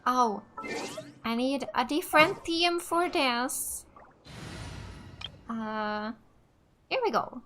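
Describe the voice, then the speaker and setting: High-voiced Finnish woman gamer. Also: animated, very slow, pauses often, fairly bright tone, faint music behind. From Finland. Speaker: gaming video host. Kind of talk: casual let's play commentary.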